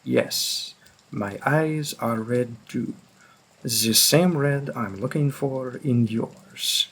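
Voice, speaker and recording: A man voicing a centuries-old vampire who hunts his own kind, grim and deliberate, in a scripted roleplay monologue addressed to the listener. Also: medium pitch, fairly bright tone, breathy edge, heavily acted. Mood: grim, proud. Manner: slow, deliberate, pausing, darkly confiding.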